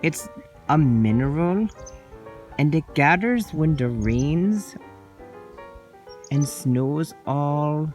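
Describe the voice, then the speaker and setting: A woman voicing a curious young toy unicorn, guiding a slow, soft-spoken tour of a pond outdoors. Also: low voice, animated, soft background music, heavily acted. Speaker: nature-walk guide. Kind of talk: playful toy narration for children.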